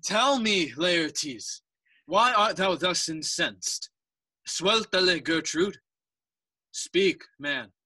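A placating king in Shakespearean audio drama, his voice high and bright. He declaims solemnly in English and Spanish, slowly and deliberately, in a dry recording. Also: expressive, husky, dry recording, full character voice, poor audio.

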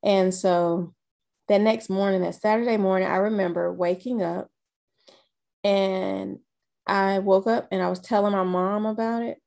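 A woman in her thirties slowly recounting a personal experience, halting often with fillers. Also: medium pitch, steady tone, gravelly, a little mellow. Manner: slow, halting storytelling with many fillers. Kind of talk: personal testimony.

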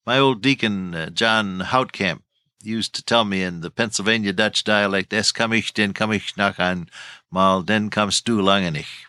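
A man's voice: lowish voice, sharp tone, no room sound, clean studio sound. Older man, folksy radio Bible teacher. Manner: folksy storytelling with chuckles.

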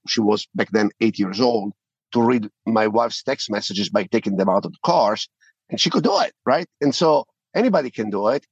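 A man's voice: lowish voice, slightly rough, no room sound, rough recording. A middle-aged man with an Italian accent, a podcast guest talking animatedly and often repeating words as he restarts. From Italy. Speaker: podcast guest, company founder. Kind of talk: interview conversation.

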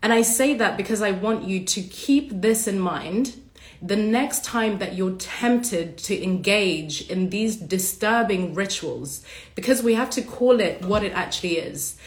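A woman hosting a casual livestream monologue, hoarse and raspy from a cold, talking passionately and bluntly with casual swearing. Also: mid-range voice, animated, a little slow, roomy sound. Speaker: podcast host.

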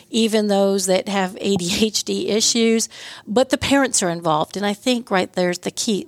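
A woman's voice: medium pitch, no echo. Middle-aged woman telling personal stories, dry close sound. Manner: earnest, anecdotal, quotes dialogue in stories. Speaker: podcast guest, school board member and scout leader. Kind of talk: conversational personal storytelling.

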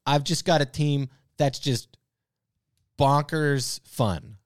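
A man hosting a podcast in casual, joking banter, talking emphatically with drawn-out stresses. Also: fairly high pitch, dry recording, studio quality. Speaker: podcast host. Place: podcast recording space.

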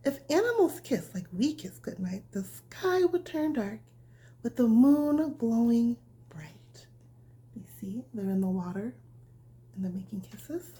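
A woman with a slow, mellow delivery reads a bedtime story as if to a small child. Her voice sits a little high and is breathy, often slipping toward a whisper. She keeps her phrasing simple and nurturing. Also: lively intonation. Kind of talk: reading a picture book aloud as a bedtime story, with asides to the listener.

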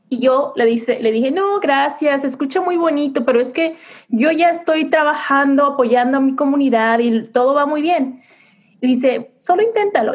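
A middle-aged woman with a high voice tells her story as a guest. She speaks earnestly and reflectively, often restarting her phrases. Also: darkish tone. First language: Spanish. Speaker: psychologist and coach, guest. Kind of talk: conversational interview, personal storytelling.

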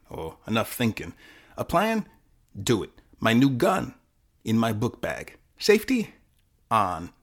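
A man playing a confused, fatalistic teenage boy who narrates his own short story in halting, self-correcting fragments. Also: medium pitch, vocal fry, heavily acted. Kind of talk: first-person dramatic short-story performance.

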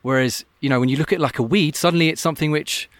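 A man's voice: on the high side, fast, no room sound. A man who works as an open-water swim coach, talking earnestly and thoughtfully in a casual podcast interview, often restarting his sentences. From Scotland.

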